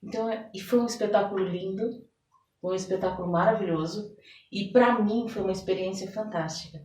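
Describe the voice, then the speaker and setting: A woman's voice: mid-range voice, a little fast. A Brazilian woman answering in an interview as a guest, telling her experiences expressively and often checking for agreement. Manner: expressive storytelling, often seeking agreement with tags. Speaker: interview guest, therapist and theater director. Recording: echoey room, distant microphone.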